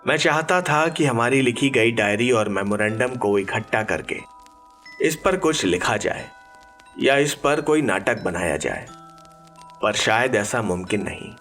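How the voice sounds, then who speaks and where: A man narrating a horror audiobook in a measured, deliberate, dramatic storytelling way over background music. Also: mid-range voice, animated, fairly bright tone.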